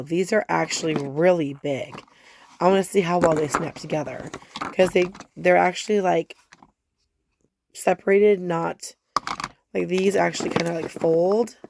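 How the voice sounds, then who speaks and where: A woman talking with a hoarse, gravelly voice that keeps slipping toward a whisper. She chats in a casual, rambling way, full of little fillers. A faint hiss sits under the recording. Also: on the low side, measured pace. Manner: chatty and rambling, many fillers. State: hoarse, losing her voice. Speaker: gardening vlogger. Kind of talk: casual chat, unboxing.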